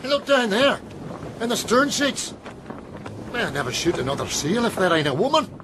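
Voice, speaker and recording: An actor playing a rough, blunt seal hunter in a radio drama. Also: male voice, sits high, sing-song, moderate pace. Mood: astonished. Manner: rough, colloquial. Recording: loud sea and wind ambience.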